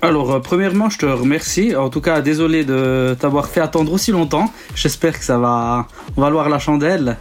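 A man's voice: fairly high pitch, moderate pace, hardly any pauses. Hesitant Swiss man with an Italian background. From Switzerland. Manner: hesitant, many ums and restarts, laughs often. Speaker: DJ and producer guest. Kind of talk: casual interview answers.

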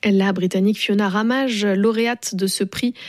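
A woman radio host presenting a news magazine in a clear, measured announcing manner. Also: medium pitch, steady tone, no echo, clean studio sound.

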